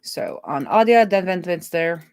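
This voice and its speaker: A woman's voice: lowish voice, expressive, normal speed, a little mellow, clear, resonant tone. A woman thinking aloud in a chatty, casual way as she live-streams a coding session. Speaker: streamer and web developer.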